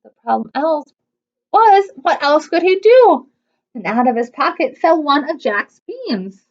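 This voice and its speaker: Female children's storytime host, high animated voice, unhurried, slightly dull lo-fi recording. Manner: expressive, simple child-directed phrasing. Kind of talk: animated read-aloud storytime for preschoolers.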